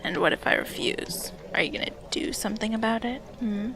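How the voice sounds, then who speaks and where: A woman playing a teasing, seductive witch in an audio drama, with a breathy, creaky delivery. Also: on the high side, fairly quick, a bit bright, in a whisper. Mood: sly, teasing. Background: music.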